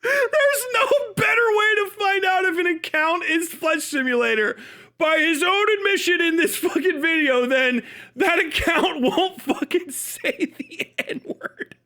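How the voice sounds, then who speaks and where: A man's voice: very high voice, expressive, a little slow, pushed and bright. A man doing casual, profane live stream commentary, mocking and emphatic, repeating himself for effect. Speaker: live streamer reacting to a video.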